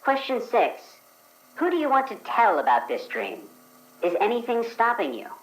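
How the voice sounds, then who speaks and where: A woman playing the reader of a dream questionnaire, asking each question in a crisp, procedural way. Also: on the high side, very animated, normal speed, low-quality recording. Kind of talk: actual play, reading scripted questions.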